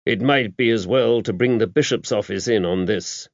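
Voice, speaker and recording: Male narrator as patient clergyman, measured explanations, lowish voice, mild temper, dry audiobook sound. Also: dry recording, highs cut off, lightly acted. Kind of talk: audiobook character dialogue.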